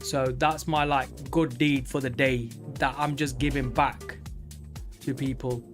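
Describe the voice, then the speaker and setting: A man of forty talking casually and candidly on a live trading stream, rambling with many pauses. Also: mid-range voice, flat delivery, music in the background. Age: 40s. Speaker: livestream day trader.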